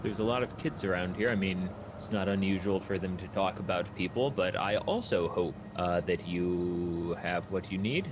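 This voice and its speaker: A man's voice: deep voice, moderate pace, few pauses, noisy background, voice pushed down, close to an everyday voice. A man playing a cagey, smug guide who gives evasive, cryptic answers in a tabletop game. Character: cagey, smug male stranger guiding adventurers, evasive.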